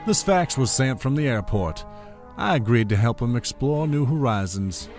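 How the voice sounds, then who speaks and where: A man plays a ruthless, wealthy boss in an audio drama, with an animated mid-pitched delivery over prominent music. He is unruffled and condescending, always scheming. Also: normal speed, close to an everyday voice. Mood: smoothly scheming. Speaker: drama actor.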